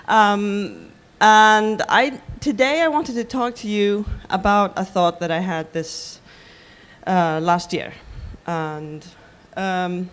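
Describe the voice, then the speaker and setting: Woman, Icelandic accent, conference speaker, hesitant, mid-pitched voice. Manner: hesitant, many ums and restarts. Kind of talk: conference talk.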